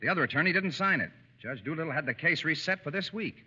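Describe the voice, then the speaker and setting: A brisk, blunt office colleague in a vintage radio drama, very sharp and forceful in tone. He delivers orders in a clipped, matter-of-fact way. The muffled recording carries a long reverb. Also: on the high side, lively intonation, slightly rough, exaggerated voice. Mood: blunt, urgent. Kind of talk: 1930s-40s radio drama acting.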